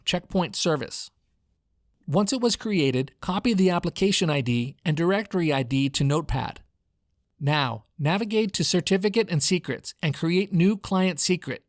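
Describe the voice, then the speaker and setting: A man with a General American accent reading a scripted product demo in a clearly enunciated, instructional way, as a voice-over narrator in a home studio. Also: on the high side, lively intonation, normal speed, no room sound. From United States.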